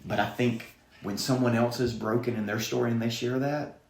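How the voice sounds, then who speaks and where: A man's voice: mid-range voice, fairly even intonation, normal speed, darkish tone, roomy sound, a little hiss or hum. A man with a Southern accent, a pastor speaking earnestly in a podcast interview and quoting scripture. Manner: earnest, preacherly, quotes scripture. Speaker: student pastor, guest.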